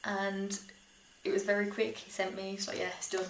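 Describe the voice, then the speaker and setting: A young woman with a British accent chats informally, like a vlogger sharing personal experiences. Her pitch sits in the middle of the female range. The room sounds echoey, with faint noise behind her. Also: monotone, normal speed. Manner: chatty, informal, with small laughs through sentences. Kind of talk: casual personal storytelling to camera. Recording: roomy echo, faint background noise.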